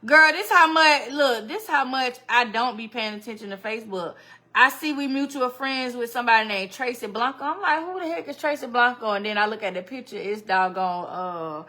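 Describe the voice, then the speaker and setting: Woman livestream host, slightly high and sharp-toned, loose chatty delivery. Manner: chatty and animated, greets viewers by name and laughs often. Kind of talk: casual livestream chat with viewers.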